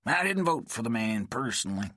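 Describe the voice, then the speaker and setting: A man voicing a bitter, paranoid old laid-off miner who rants in a gruff, folksy drawl. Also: medium pitch, big pitch swings, fairly quick, sharp tone, no room sound, studio quality, voice pushed up. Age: older. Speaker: story character. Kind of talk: audiobook character voice.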